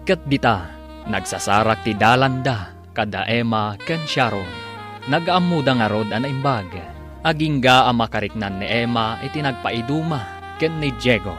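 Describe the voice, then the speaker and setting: A man performs as a radio drama announcer with a middle-pitched voice. He introduces the serial in scripted narration, with music loud underneath him. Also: moderate pace, close to an everyday voice. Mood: solemn, presenting.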